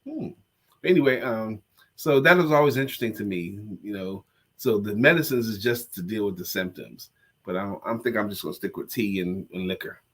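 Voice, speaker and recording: Congested husky man chatting. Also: fairly low pitch, fairly quick. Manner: joking, self-deprecating, lively delivery. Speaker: co-host and coach. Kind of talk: casual live-stream chat.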